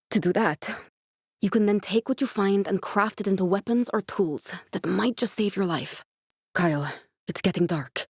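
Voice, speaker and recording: An actress playing an earnest, guarded woman scientist in a video game, speaking in a measured, serious, instructive way. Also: lowish voice, no room sound, clean studio sound.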